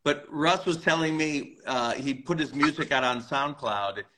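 A man's voice: medium pitch, moderate pace, sharp tone, rough recording. A man hosting an interview in an informal, conversational way, probing as he talks. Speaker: interview host.

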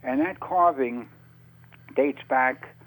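A man speaking as an interview guest on radio, unscripted, halting and often repeating words as he searches for phrasing. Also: mid-range voice, rough recording. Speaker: interview guest, author on UFO topics.

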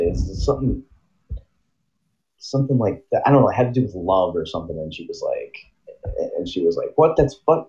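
Lively, rambling male podcast co-host. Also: fairly low pitch, dull audio. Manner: rambling, laughing, jumping into silly voices. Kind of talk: crude casual chat.

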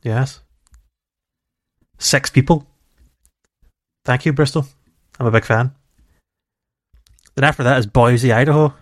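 A man's voice: medium pitch, steady tone, slow pace, long pauses, no room sound. A man chats loosely on a gaming podcast, drifting between thoughts and laughing often. His voice sits in a middle register. The sound is dry and close, with no room around it. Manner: chatty, rambling, laughs easily. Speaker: podcast co-host. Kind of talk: casual chat.

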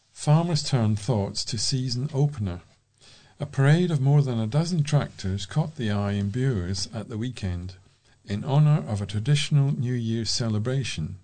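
British man reading local news, mid-pitched. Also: a little mellow. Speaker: volunteer news reader.

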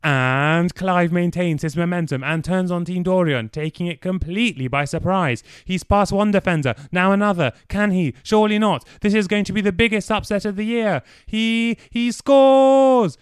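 A man voicing an excited male football commentator calling a goal in breathless play-by-play. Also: high-pitched, normal speed, hardly any pauses, clear, resonant tone, no room sound, clean studio sound, voice pushed up, lightly acted.

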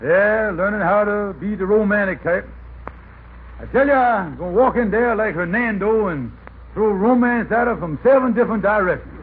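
An actor playing a pompous, scheming husband with florid, folksy speech in old radio comedy style. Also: male voice, high voice, moderate pace, close to an everyday voice. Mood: boastful, eager. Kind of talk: 1940s-50s radio comedy acting. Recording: tinny, noisy old-time radio recording.

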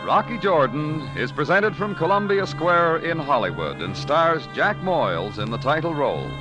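Male radio announcer, lightly high voice, lively intonation, scripted 1940s broadcast, music behind. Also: moderate pace.

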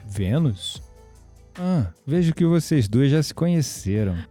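An actor playing a calm, kindly, mystical shopkeeper in an audio drama, with a Brazilian accent and a soft, unhurried, reflective way of talking. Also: male voice, mid-range voice, faint music behind. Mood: calm, amused. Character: calm, kindly mystical young man, incense shop keeper.

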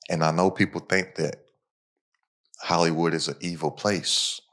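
A man's voice: deep voice, fairly even intonation, unhurried, fairly bright tone. A man hosting a podcast, talking casually in an informal, slangy, motivational way to his listeners. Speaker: podcast host and entrepreneur.

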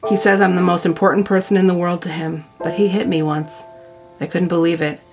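A woman's voice: lowish voice, level tone, fairly quick, over music, natural voice. A woman voicing a pained, pleading teenage boy with a temper, in a dramatic reading of a teen novel.

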